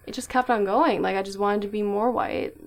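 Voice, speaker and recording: A woman's voice: medium pitch, fairly even intonation, fairly quick. A young Canadian woman co-hosting a podcast, telling reflective stories in a chatty, casual way. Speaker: podcast co-host. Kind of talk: casual chat.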